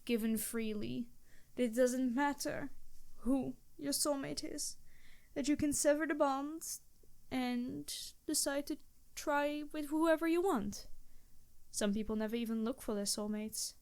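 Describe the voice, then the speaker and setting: Casting: woman as ancient female vampire, embarrassed and courteous, old-fashioned phrasing, fairly high voice, intimate audio roleplay. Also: steady tone, unhurried, darkish tone, smooth. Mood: earnest, measured. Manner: formal, old-fashioned wording, hesitant, apologetic. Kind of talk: intimate scripted roleplay addressed to the listener.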